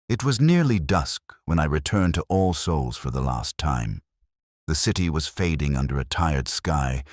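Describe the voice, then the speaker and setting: Very deep-voiced male mystery narrator. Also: no room sound, studio quality. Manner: measured, melancholy, solemn delivery. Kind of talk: first-person literary mystery audiobook narration.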